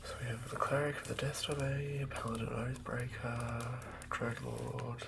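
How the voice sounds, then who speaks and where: A man doing improvised ASMR in a breathy whisper, unhurriedly murmuring to himself as he works. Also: mid-range voice, level tone, a little slow, very noisy. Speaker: ASMR host.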